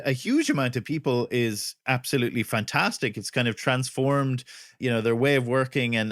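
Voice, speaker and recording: A man's voice: mid-range voice, fairly bright tone, no room sound, studio quality. A man hosting an interview podcast, speaking conversationally and feeling his way tentatively into his questions, repeating words as he goes.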